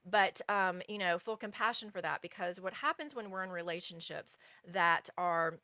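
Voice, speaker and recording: A woman's voice: fairly low pitch, moderate pace, bright, forceful tone, dry recording. A middle-aged woman teaching as a spiritual relationship coach in a live stream, earnest and meandering, breaking off to answer viewer comments.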